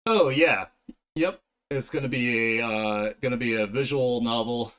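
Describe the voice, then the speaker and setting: A man's voice: mid-range voice, measured pace, a bit bright. A man chatting casually on a livestream as he draws, with blunt, slangy, profane talk. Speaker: streamer, digital artist. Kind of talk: casual livestream chat while drawing.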